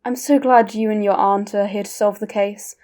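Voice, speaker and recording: A woman playing a gentle, soft-spoken young woman, shaken and tired. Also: mid-range voice, steady tone, normal speed, lightly acted. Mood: weary.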